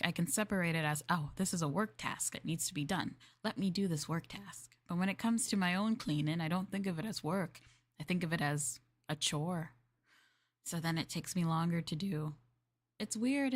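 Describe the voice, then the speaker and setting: A young woman chatting in an ASMR maid roleplay. She rambles playfully, giggles and hesitates with fillers while speaking to the listener as her master. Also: lowish voice. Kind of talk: soft casual ASMR roleplay chat.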